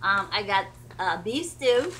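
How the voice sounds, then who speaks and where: A woman's voice: mid-range voice, sing-song, slow pace, background noise. An older woman chatting casually as she unpacks her shopping bags at home, rambling and cooing at her dogs. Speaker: vlogger. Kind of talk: casual haul vlog. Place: home porch and carpeted room.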